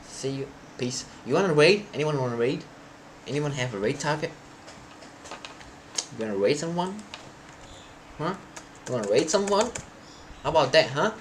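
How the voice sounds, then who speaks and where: A young man, a native Thai speaker, chatting loosely to his live stream viewers, often halting and restarting as he searches for words. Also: a little high, lively intonation, a little hiss or hum. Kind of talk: casual stream chat to viewers.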